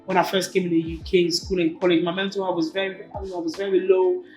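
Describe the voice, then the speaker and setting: A woman's voice: on the low side, fast talker. A woman in her twenties from Cameroon, a native French speaker, talking casually and animatedly as an interview guest. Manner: informal and animated, slangy asides. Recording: echoey room with faint music behind.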